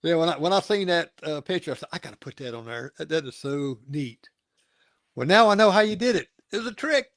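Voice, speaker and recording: A man's voice: on the high side, animated, fairly quick, fairly bright tone, no room sound, studio quality. A man from North Carolina hosting a casual interview, rambling with frequent chuckles and restarts. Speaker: host.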